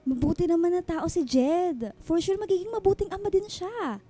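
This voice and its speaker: High-pitched, teasing Filipina best friend. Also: female voice, normal speed, mellow. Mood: eager, persuasive. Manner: gushing, slangy, exclamatory, bantering. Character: teasing, nosy, matchmaking woman friend in her thirties. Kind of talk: Filipino radio drama acting, conversational. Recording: music bed under the dialogue.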